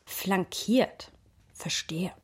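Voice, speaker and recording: A woman's voice: mid-range voice, extremely slow, breathy edge, no echo, whispered, heavily acted. An actress playing a candid, sensitive, bookish woman talking with a close friend in a radio drama. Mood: teasing.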